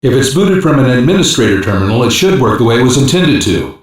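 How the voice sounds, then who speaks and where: A man's voice: mid-range voice, sing-song, fast talker, lightly acted. A man playing a businesslike cyber-police analyst in an English sci-fi game, giving matter-of-fact technical briefings. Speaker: intelligence operative. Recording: very echoey, distant-sounding game audio.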